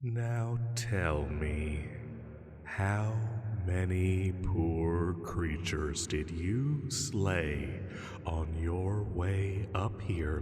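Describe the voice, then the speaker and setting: Casting: male actor as ancient predatory dragon, low-voiced, sly and condescending, heard in long cavernous reverb. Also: a little mellow. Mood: scornful questioning. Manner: slow, condescending, theatrical, drawn-out taunting. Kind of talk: scripted roleplay audio drama monologue.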